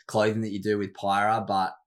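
A man's voice: lowish voice, completely flat delivery, fairly quick. Upbeat Australian man hosting a podcast. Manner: upbeat and chatty, with slangy asides. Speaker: podcast host. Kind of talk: casual podcast chat. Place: home bedroom studio.

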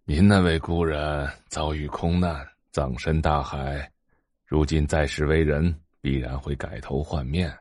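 A very deep-voiced old sage acted by a man in an audio drama. He is a genial Taoist elder who speaks with measured courtesy and deference. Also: steady tone, a little mellow, no echo, studio quality. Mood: measured, probing. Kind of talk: Chinese audio drama dialogue.

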